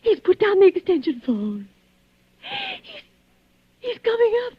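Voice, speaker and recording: A woman's voice: very high-pitched, big pitch swings, a little slow, dry recording, full character voice. An actress playing a frantic invalid woman in a radio thriller, stammering, breathless and pleading. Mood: terrified, pleading. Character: terrified invalid woman, frantic, demanding, alone at night. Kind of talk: 1940s radio acting. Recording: muffled old radio broadcast.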